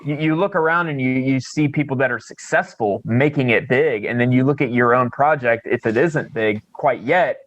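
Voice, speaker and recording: A man's voice: medium pitch, normal speed, hardly any pauses, dry recording, lo-fi sound. A man chatting casually as a podcast co-host, swearing freely and poking fun at himself by acting out imagined quotes. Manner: sweary, self-deprecating, acts out imagined quotes.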